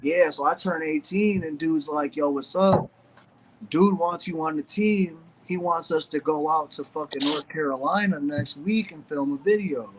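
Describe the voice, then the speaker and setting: A man's voice: a little high, moderate pace, a little hiss or hum, low-quality recording. Profane young man telling stories. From Ohio, USA. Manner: loose, profane storytelling with many fillers. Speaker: guest, former pro BMX rider. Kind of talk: casual podcast chat.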